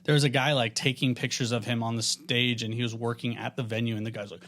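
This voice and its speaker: A man co-hosting a podcast, chatting casually in a slangy, animated way. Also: mid-range voice, quick pace. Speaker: podcast co-host. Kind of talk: casual chat.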